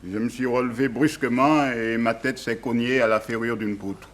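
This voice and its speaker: A man's voice: mid-range voice, fairly even intonation, moderate pace, fairly bright tone, close to an everyday voice. A man playing a servile, naive and overworked plantation labourer in a 1960s French radio drama. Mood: plain, submissive.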